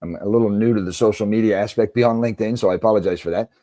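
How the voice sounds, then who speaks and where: Deep, mellow middle-aged man. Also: fairly even intonation, rapid-fire, no echo, studio quality. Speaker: interview guest, basketball coach and author. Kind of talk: conversational interview answers.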